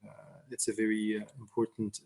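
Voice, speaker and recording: Young man from Syria, halting speech. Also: fairly low pitch, completely flat delivery, darkish tone. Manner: hesitant, many fillers and restarts. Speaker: interview guest, surgery resident. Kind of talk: casual conversational interview.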